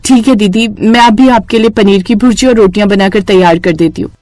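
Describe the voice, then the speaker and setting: A woman's voice: medium pitch, a little fast, darkish tone, no echo, soft background music, lightly acted. A woman voicing a humble, earnest housemaid in a cartoon story, speaking politely and deferentially. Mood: polite, eager. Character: humble, earnest poor housemaid, a grateful daughter-in-law. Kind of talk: Hindi cartoon story dialogue.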